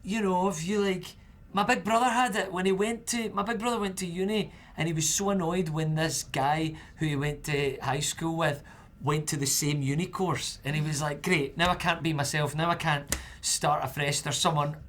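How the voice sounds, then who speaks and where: A man's voice: fairly high pitch, normal speed, hardly any pauses. Chatty young man. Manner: chatty, relaxed, many fillers. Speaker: actor guest. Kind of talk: casual roundtable chat.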